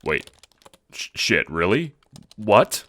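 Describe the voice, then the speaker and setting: A man's voice: lowish voice, big pitch swings, slow, pushed and bright, no room sound, full character voice. A man playing a casual, foul-mouthed young time-traveling guy in an audio drama, talking in hesitant slang. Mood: alarmed, confused.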